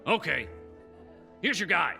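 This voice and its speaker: Man acting a gruff comic-dub newspaper boss, brash, gravelly, animated swooping delivery, emphatic. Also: fairly high pitch, measured pace, soft background music, exaggerated voice. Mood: brisk, bantering. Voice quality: raspy. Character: blustery, gruff, loud newspaper boss with gruff fondness. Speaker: voice actor.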